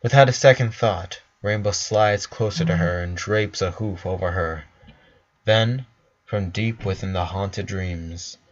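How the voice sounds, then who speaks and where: A man narrating a story from a script, on a slightly dull recording. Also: low voice.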